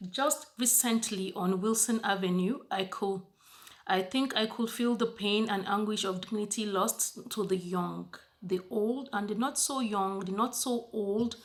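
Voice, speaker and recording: Slow-paced woman reading a monologue. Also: mid-range voice. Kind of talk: spoken-word monologue reading.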